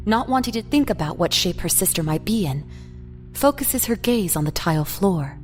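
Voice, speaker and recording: A woman reading scripted narration for an audio drama. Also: fairly low pitch.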